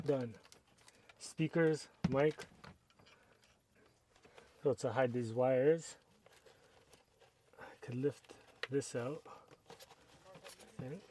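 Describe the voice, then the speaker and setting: A man talks through a hands-on install in an easy, unhurried way, narrating each step as he goes. His pitch sits in a middle range. There is a faint hiss of noise behind him. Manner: relaxed, step-by-step explaining while working with hands. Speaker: product reviewer. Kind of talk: casual how-to narration.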